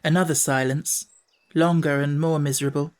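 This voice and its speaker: A woman's voice: low-pitched, steady tone, normal speed, a little mellow. A woman reading a scripted children's story as an audiobook narrator.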